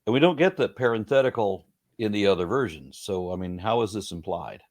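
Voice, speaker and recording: Lower-voiced male host, thinking aloud. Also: lively intonation, a little fast, darkish tone. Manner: thinking aloud, repeating words. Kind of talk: casual interview conversation.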